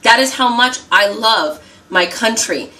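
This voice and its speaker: An American woman chats to her camera as she does her makeup, pressing her points and repeating them over and over. Her voice is bright and a little tense. She sits somewhat back from the mic. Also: fairly high pitch, expressive, measured pace, distant mic. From United States. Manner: emphatic, repeats herself, keeps asking "okay?"; talking while doing her makeup. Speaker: vlogger. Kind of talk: casual talk to camera.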